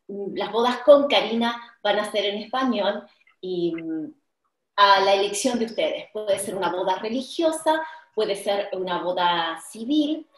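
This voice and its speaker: A middle-aged woman with an Argentine accent, a wedding officiant explaining her services on a video call, earnest and pausing often with "eh". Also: mid-range voice, reverberant, low-quality recording. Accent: Argentine Spanish. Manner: warm, earnest, frequent "eh" pauses. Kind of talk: promotional video call chat. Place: indoor room with tiled wall, flowers and candle. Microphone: video call webcam.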